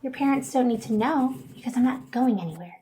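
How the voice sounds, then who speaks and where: A woman's voice: a little high, lively intonation, brisk, dark tone, slight background noise, lightly acted. An actress playing a sheltered but defiant, tender teenage girl in an audio drama, with an earnest, hesitant manner. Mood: determined. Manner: hesitant, earnest, stammering when flustered.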